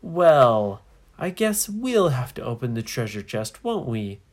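A woman's voice: rumbling low, sing-song, unhurried, full character voice. A woman voicing plucky little children on an adventure in a story, speaking plainly and earnestly. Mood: teasing.

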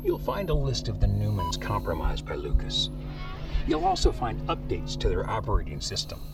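A man's voice: fairly low pitch, huge pitch swings, moderate pace, creaky, far from the mic, over loud music, full character voice. A man acting a solemn, dying older surgeon-inventor in an audio drama, his speech laboured and breaking into whispers. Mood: solemn, weakening.

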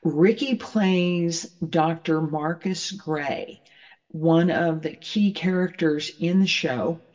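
An interviewer asking questions in a measured, halting way. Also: mid-range voice, darkish tone. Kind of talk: interview, slow deliberate questioning. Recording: muffled, long hall-like reverb.

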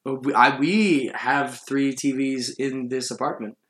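A man's voice: mid-range voice, fairly even intonation, unhurried, fairly bright tone. Man chatting casually in an echoey room. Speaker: podcast host. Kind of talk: casual chat. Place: room in an apartment. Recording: roomy, echoey sound.

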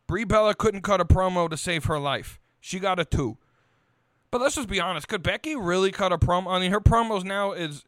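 Blunt man with a clear, higher-set voice. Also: a bit bright, dry recording, clean studio sound. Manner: blunt, profane. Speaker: wrestling podcast host. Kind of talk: casual, opinionated solo podcast talk.

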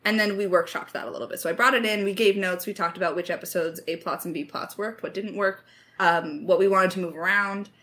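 A woman's voice: mid-range voice, fairly even intonation, a little fast, fairly bright tone. A woman co-hosting a podcast Q&A, talking in a chatty, informal, joking way. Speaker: podcast co-host and audio drama creator. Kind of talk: casual Q&A chat.

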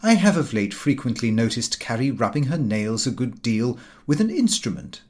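A man's voice: mid-range voice, big pitch swings, moderate pace, darkish tone. A man reading a comic diary novel as an audiobook, with fussy, indignant storytelling. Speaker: audiobook reader.

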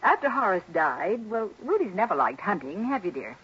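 An actress playing a chatty, warm-hearted, middle-aged wealthy hostess in a 1960s radio drama, with a breathy voice. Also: female voice, medium pitch, sing-song, normal speed, exaggerated voice. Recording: dull lo-fi 1960s radio broadcast.

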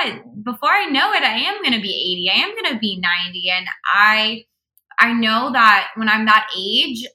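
A woman's voice: medium pitch, pushed and bright, poor audio. A woman in her thirties chatting earnestly about motivation and gratitude in an upbeat, heartfelt way. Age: 30s. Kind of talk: casual podcast chat.

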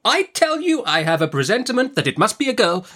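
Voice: mid-range voice, very animated, fast, fairly bright tone, husky, pitched above the performer's own voice. A narrator voicing a brusque, imperious older great-aunt in an audiobook, emphatic and commanding.

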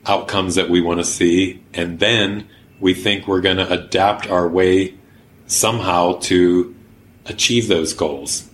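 Man, very deep voice, earnest, explanatory, faint background noise. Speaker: workshop organizer. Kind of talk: podcast conversation and workshop facilitation.

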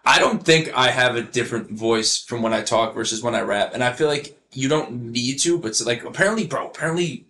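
A man's voice: medium pitch, fairly quick, hardly any pauses, sharp tone, slight background noise. A man hosting a podcast in an easygoing, conversational way. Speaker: podcast host. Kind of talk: casual podcast chat.

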